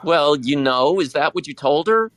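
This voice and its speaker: Man playing a wry, animated teenage boy. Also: on the high side, normal speed, soft background music. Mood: guarded, resentful. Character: guarded, wry high school senior, a teenage boy. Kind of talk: audio drama acting.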